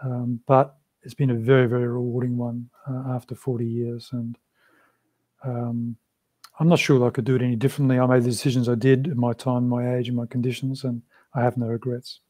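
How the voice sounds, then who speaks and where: Calm, measured older man. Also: medium pitch, no echo. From Australia. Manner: measured, thoughtful, unhurried. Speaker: interview guest, Buddhist practitioner. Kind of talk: reflective interview answers. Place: small room with a shrine, indoors. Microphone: video call.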